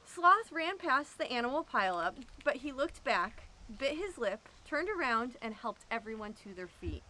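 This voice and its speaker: A woman reading a children's picture book aloud, with expressive, drawn-out emphasis. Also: on the high side, rough recording. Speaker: storyteller at an animal sanctuary.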